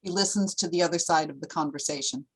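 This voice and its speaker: A woman's voice: deep voice, quick pace, slightly rough, poor audio. A woman narrator reading screenplay scene headings and stage directions as scripted narration.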